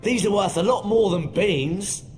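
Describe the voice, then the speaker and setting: A young man with a British accent playing a nervous, eager teenage boy wizard in a video game, hesitant and excitable. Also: sits high, a little slow. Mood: excited. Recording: loud game music behind voice.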